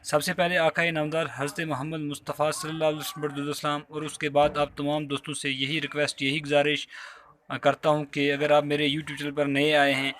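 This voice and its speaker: A man's voice: on the high side, completely flat delivery, a little fast, a bit bright, slight background noise. A man from Azad Kashmir with a Pakistani accent, explaining a hands-on repair step by step in a polite, informal, repetitive way into a handheld phone. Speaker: repair tutorial host. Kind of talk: informal hands-on tutorial narration. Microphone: handheld phone while working.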